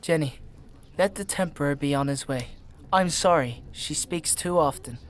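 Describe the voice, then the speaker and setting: A man's voice: a little high, normal speed, lightly acted. A man as an apologetic, placating fugitive husband. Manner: apologetic, smoothing things over. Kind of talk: dark fantasy audio drama acting.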